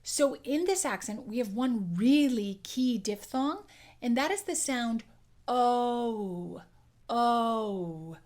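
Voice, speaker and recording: A woman dialect coach teaching an accent lesson, explaining clearly and demonstrating sounds. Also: fairly high pitch, animated, takes it slowly, a little mellow.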